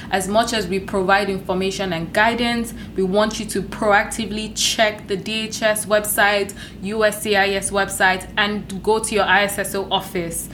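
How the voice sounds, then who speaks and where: A woman from Nigeria reads a podcast intro and disclaimer in a steady, scripted manner. Her pitch sits mid-range. A clear low rumble runs under the recording. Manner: measured, read from a script. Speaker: podcast co-host.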